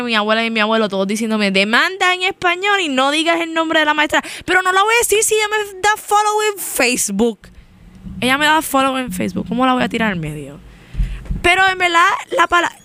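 A woman's voice: high-pitched, bright, forceful tone, a little hiss or hum. A young woman with a Puerto Rican accent hosting a college radio show, chatting in a rambling way and switching between Spanish and English mid-sentence. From Puerto Rico. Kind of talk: casual on-air chat.

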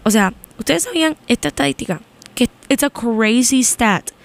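A woman's voice: a little high, lively intonation, normal speed, creaky. A young woman with a Puerto Rican accent hosting a college radio show, chatting in a rambling way and switching between Spanish and English mid-sentence. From Puerto Rico. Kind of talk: casual on-air chat.

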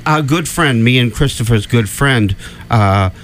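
A man's voice: medium pitch, a little slow, fairly bright tone, slight background noise. A man with a Boston accent hosting a radio show, rambling and laughing as he corrects himself in casual banter. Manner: giggly, rambling, self-correcting. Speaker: radio host.

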